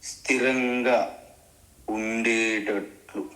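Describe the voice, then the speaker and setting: A man leading a guided meditation as a spiritual teacher, giving measured instructions in short phrases with long pauses. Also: medium pitch, steady tone, fairly bright tone. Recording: roomy, echoing sound.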